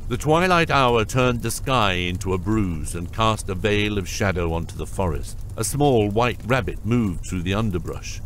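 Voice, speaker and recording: A man's voice: on the low side, lively intonation, moderate pace, hardly any pauses, a bit bright, a little hiss or hum. A man reading scripted narration for a fantasy audiobook.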